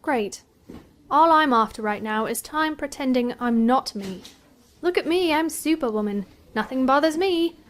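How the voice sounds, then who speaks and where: A woman's voice: high voice, lively intonation, normal speed. A woman playing a sardonic, self-critical seventeen-year-old college girl in an audio drama, with a wry, grumbling inner monologue. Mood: self-mocking.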